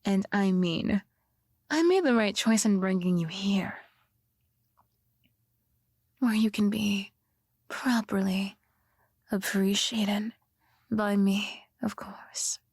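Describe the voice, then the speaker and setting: Breathy, slow yandere girlfriend, acted by a woman. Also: mid-range voice, dry recording. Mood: cold menace. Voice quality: breathy, often whispering. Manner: slow, coaxing, softly threatening. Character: possessive yandere girlfriend, sweetly menacing, obsessive kidnapper. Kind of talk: intimate ASMR roleplay acting.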